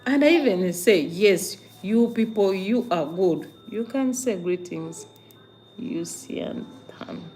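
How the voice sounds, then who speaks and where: A woman's voice: medium pitch, expressive, slow, mellow, slight background noise. A woman from Uganda with a Ugandan accent hosts a casual livestream, talking to viewers emphatically, with repeated phrases, rhetorical questions and questioning hums. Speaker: livestream host.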